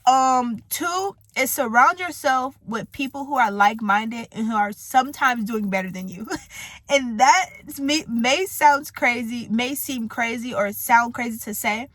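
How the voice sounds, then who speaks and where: Chatty young woman vlogging, bright voice. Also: a little high, measured pace, no room sound. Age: 20s. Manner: chatty, rambling, laughs easily. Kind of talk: casual talk to camera. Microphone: camera mic.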